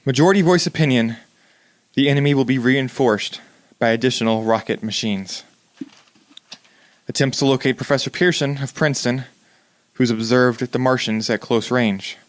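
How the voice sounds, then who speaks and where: Young man as grave radio newsman, 1930s bulletin style, formal, measured, mid-pitched, close dry sound. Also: dry recording, lightly acted. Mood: urgent, formal. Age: young adult. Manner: formal, measured, deliberate phrasing. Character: grave male radio news announcer reporting an invasion. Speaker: student actor in a radio play.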